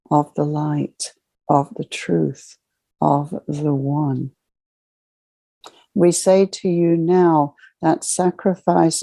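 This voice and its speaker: A woman guides a spiritual meditation in a mellow voice with a gravelly edge. She speaks in solemn, measured phrases, like a formal ceremonial address. The sound is close and dry. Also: on the low side, no room sound. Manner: slow, measured, ceremonial delivery in short phrases.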